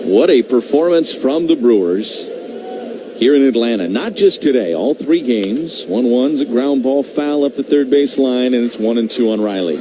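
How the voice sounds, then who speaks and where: A man doing live baseball play-by-play on radio, talking in measured short phrases with conversational asides. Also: mid-range voice, animated. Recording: clearly noisy live radio broadcast.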